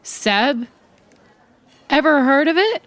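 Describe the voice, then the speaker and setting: A woman's voice: sits high, animated, takes it slowly, fairly bright tone, no room sound, heavily acted. A woman playing a sceptical investigator in improvised audio roleplay, questioning in a dry, pointed way. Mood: sceptical, probing. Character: sceptical, probing female investigator.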